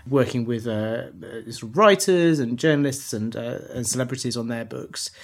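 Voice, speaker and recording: A man's voice: medium pitch, normal speed. A man co-presenting a podcast, talking through his thoughts in a loose, discursive, conversational way. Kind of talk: conversational news commentary.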